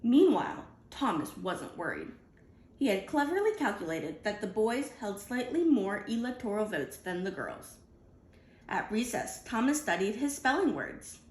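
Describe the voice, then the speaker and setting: A woman reads a children's picture book aloud with deliberate, expressive storytelling. Her mid-pitched voice moves up and down a lot, and the room adds noticeable echo. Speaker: storybook reader.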